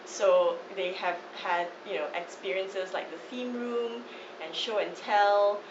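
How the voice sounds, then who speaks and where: A woman speaking warmly and enthusiastically as a parent giving a testimonial. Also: medium pitch. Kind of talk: casual testimonial. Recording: distant echoey dull recording, ambient noise.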